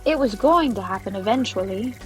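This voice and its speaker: A woman's voice: mid-range voice, lively intonation, a little fast, faint music behind, natural voice, poor audio. A woman playing a wry, forgiving, teasing former fiancée with a scoffing manner in a fantasy audio drama. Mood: resigned, forgiving. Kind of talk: acted fantasy audio drama from tabletop game.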